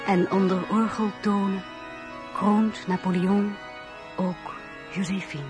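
Composed woman actress with music softly behind. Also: mid-range voice, little pitch movement, takes it slowly, close to an everyday voice. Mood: reflective. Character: composed, polite young marshal's wife telling her story. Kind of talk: audio drama narration and dialogue.

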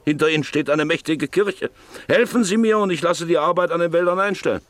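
An actor playing a hard, scheming landowner in a German radio play, brusque, sardonic and persuasive. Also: male voice, a little high, a little fast, dry recording, lightly acted. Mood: scornful, persuasive. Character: hard, scheming landowner and father, scornful businessman.

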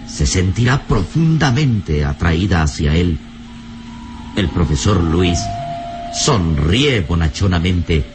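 A man's voice: low-pitched, slight background noise, heavily acted. A man playing the solemn, theatrical narrator of a suspenseful adventure radio serial. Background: music.

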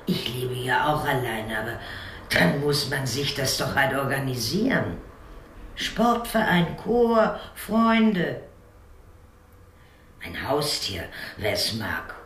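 A man playing a solitary but sociable-minded neighbour in a radio drama, giving kindly advice in deliberate, paused lists. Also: medium pitch, very animated, a bit bright, full character voice. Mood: earnest. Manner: deliberate, listing, pausing. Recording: echoey room, faint noise.

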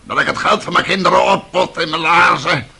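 Gravelly, histrionic old schemer of a father. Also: a little high, a little fast, pushed and bright, exaggerated voice. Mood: indignant. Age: older. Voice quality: hoarse, gravelly. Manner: histrionic, mock-pious, accusing. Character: scheming, theatrical old father, buffoonish and quarrelsome. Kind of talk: radio drama acting.

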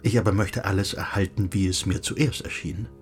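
An actor playing a middle-aged, bookish recluse in a radio play, speaking in a reflective, lyrical and measured way. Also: male voice, sits low, fairly even intonation, faint music behind, lightly acted. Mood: musing, lyrical. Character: wistful, gently philosophizing bookish recluse husband. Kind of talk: German radio play acting.

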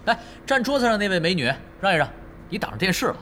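An actor playing a laid-back, cocky veteran pro gamer in an audio drama, wry and self-assured. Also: male voice, high voice, sing-song, fast, noisy background. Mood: teasing.

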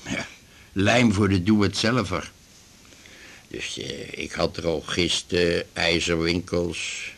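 A man's voice: low voice, fairly even intonation, takes it slowly, gravelly, fairly bright tone, heavily acted. An actor playing an aggrieved, garrulous retired glue salesman in his fifties in a radio drama, rambling and grumbling. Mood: rueful. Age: 50s. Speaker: patient in a doctor's office. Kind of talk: naturalistic radio drama acting.

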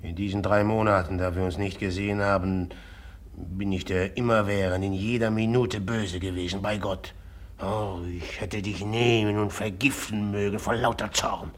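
A man's voice: on the low side, steady tone, moderate pace, natural voice. An actor playing a jealous, tormented young man in a 1950s German radio drama, brooding and bitter with sudden agitated outbursts. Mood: yearning. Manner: brooding, swings from whispering bitterness to bursts of agitation.

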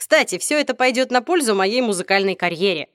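A woman reading an audiobook, voicing a calm, wry teenage vampire boy in a lowered, easygoing voice. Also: a little fast, dry recording, studio quality, close to an everyday voice.

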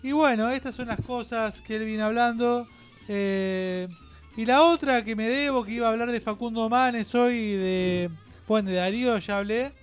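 An Argentine man co-hosting a radio show in rambling, opinionated chat, halting, digressing and repeating himself. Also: very high voice, clean tone, faint music behind.

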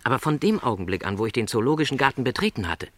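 A man's voice: mid-range voice, very animated, rapid-fire, no echo. An actor playing a lonely, dreamy middle-aged travelling salesman in a radio drama, speaking in reflective, rhapsodic literary phrases. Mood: elated, rapt.

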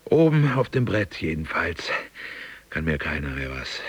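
A man's voice: extremely low pitch, fairly bright tone, breathy edge, exaggerated voice. An actor playing a quirky, self-satisfied salesman who fancies himself a fitness type, musing colloquially in a radio drama.

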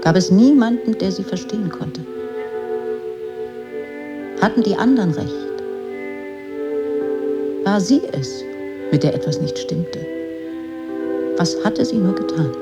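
A woman's voice: medium pitch, animated, slow, long pauses. A woman narrating a literary radio drama as a grave storyteller. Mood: grave, troubled. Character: grave female storyteller of a troubled girl's life. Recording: music under the voice.

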